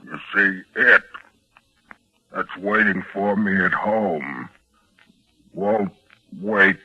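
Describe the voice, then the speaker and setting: An actor playing a menacing, uncouth young countryman in an old radio horror play, with a husky voice and slow, ominous, demanding delivery. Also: heavily acted. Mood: ominous, threatening. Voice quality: low husky voice. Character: menacing, uncouth, half-human young country man, sinister and insistent. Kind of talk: 1940s radio horror acting. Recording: dull old radio broadcast recording.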